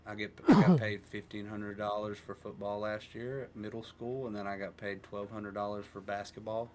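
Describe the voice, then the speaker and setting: Laid-back young man, low voice. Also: moderate pace. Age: 20s. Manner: relaxed, rambling, works through numbers aloud. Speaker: teacher and coach. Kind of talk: casual podcast chat.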